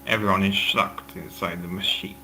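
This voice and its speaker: A man's voice: deep voice, steady tone, moderate pace, bright, forceful tone, a little hiss or hum, low-quality recording. A man reading scripted stage directions as the narrator.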